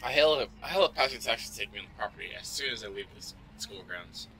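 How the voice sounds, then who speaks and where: A man's voice: medium pitch, expressive, fast talker, sharp tone, rough recording. A man doing informal gaming commentary and reading visual novel narration aloud, stumbling now and then, over game music. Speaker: let's play host.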